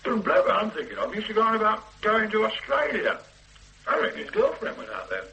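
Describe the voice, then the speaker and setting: An actor playing an eager, cheeky detective sergeant in a radio drama. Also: male voice, high voice, brisk, slight background noise, exaggerated voice, low-quality recording. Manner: cheeky, lively, persuasive. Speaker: police sergeant. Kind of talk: 1970s radio drama acting.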